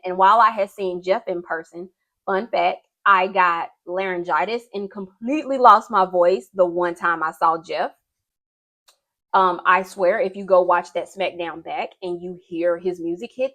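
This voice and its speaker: A woman's voice: mid-range voice, a bit bright. A young woman vlogger chatting casually and gushing in informal slang while she does her makeup. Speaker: beauty and wrestling vlogger.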